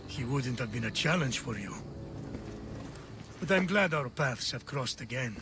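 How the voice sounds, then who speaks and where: A man's voice: medium pitch, expressive, measured pace, natural voice. An actor playing a remorseful, duty-bound older soldier, an ancient Greek father in a video game, speaking solemnly. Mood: surprised, glad. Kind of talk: video game cutscene acting. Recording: loud music under the voice. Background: loud music.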